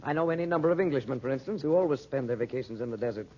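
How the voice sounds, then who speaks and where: Earnest American adventurer uncle played by a man, fast-talking, urgent, vintage radio drama with muffled, slightly hissy sound. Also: medium pitch, expressive, darkish tone, lightly acted. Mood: musing, genial. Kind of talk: 1930s-40s radio acting.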